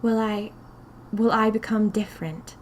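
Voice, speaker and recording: A woman's voice: medium pitch, measured pace, slight background noise, natural voice. A woman voicing a timid, frightened young woman drawn into a magical society, questioning uneasily in first-person audio fiction. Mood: bewildered.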